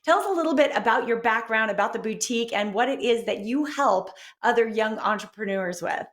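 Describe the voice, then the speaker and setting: A woman co-hosting a TV talk show, bubbly and friendly, full of warm affirmations. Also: a little high, a little fast.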